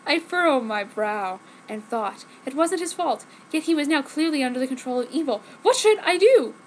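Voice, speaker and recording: High voiced girl doing over the top dramatic fanfic reading, mock serious, bit of noise. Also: lively intonation, moderate pace, low-quality recording. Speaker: amateur fanfiction reader. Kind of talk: exaggerated dramatic story reading. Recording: noticeable background noise.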